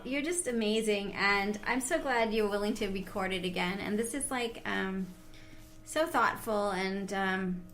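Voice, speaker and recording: A woman's voice: medium pitch, fairly bright tone. A woman hosting a podcast in casual chat, effusive and informal in the way she talks. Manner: effusive, informal, frequent "like" and "awesome". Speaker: podcast host.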